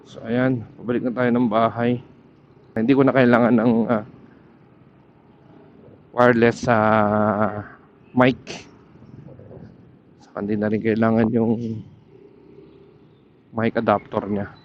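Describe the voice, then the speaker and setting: A Filipino man talks at a slow, halting pace, like a casual ride vlog. His voice comes through a dull, muffled helmet intercom mic. Faint vehicle noise runs behind him outdoors. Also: lowish voice, flat delivery. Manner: halting, pausing to search for words. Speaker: motorcycle ride vlogger. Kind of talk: casual vlog talk while riding. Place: outdoors, riding a motorcycle. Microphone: helmet intercom headset.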